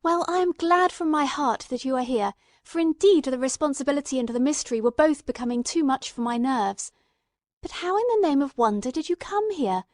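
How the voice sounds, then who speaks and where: A woman voicing a detective's earnest, loyal male companion in an audiobook, speaking in a fervent, candid, excitable way. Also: high voice, dry recording, clean studio sound, pitched above the performer's own voice. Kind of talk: audiobook character dialogue.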